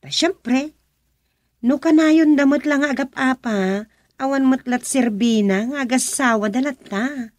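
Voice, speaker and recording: A woman's voice: high voice, unhurried. A woman playing an opinionated, chatty village woman who lectures insistently, in a rural radio comedy-drama. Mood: insistent, moralizing. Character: opinionated village woman gossiping and moralizing about marriage.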